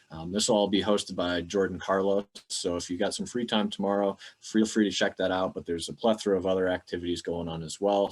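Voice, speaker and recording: Casting: male podcast co-host, very low voice, loose and informal conversational delivery. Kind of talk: casual podcast talk.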